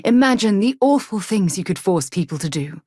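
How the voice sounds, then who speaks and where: An actress playing an earnest, principled woman space explorer and leader in a video game, with a crisp, articulate, slightly husky delivery. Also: medium pitch, lively intonation, moderate pace, warm tone, no echo, studio quality. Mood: concerned, firm. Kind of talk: scripted game dialogue.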